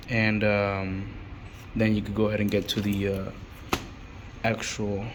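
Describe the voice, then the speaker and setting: A man giving a casual, unscripted hands-on installation tutorial, speaking in a halting way with frequent pauses mid-sentence. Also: lowish voice. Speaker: installer presenting a how-to. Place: on an installation job site. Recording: clearly noisy on-site recording.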